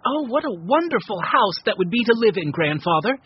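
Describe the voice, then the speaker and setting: A man voicing an eager, excitable little boy who is always hungry, in a storybook reading. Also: high-pitched, fairly quick, dull audio, a little hiss or hum, pitched above the performer's own voice. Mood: excited.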